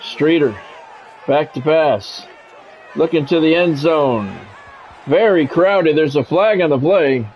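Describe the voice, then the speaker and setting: A man's voice: fairly high pitch, slow pace, long pauses, darkish tone, a faint crowd, a little hiss or hum. An American man doing play-by-play commentary on a football game in short, clipped announcer phrases. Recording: dull lo-fi sound, music behind.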